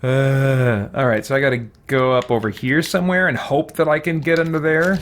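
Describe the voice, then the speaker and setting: A man's voice: mid-range voice, fairly even intonation, normal speed, a little hiss or hum. A man giving casual game commentary, thinking aloud with puzzled muttering and mild exclamations. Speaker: gamer hosting a roleplay let's play.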